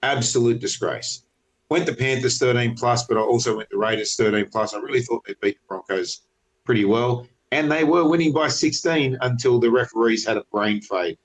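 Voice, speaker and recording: A man's voice: medium pitch, moderate pace, poor audio. Male podcast co-host, stuffy with a cold, upbeat and self-mocking, casual sports chat. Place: hotel room.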